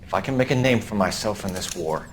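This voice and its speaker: An actor playing an ambitious, idealistic young military officer in a video game, earnest and self-important. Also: male voice, lowish voice, lively intonation, fast talker, a little hiss or hum, natural voice. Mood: eager, determined. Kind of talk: dramatic game acting.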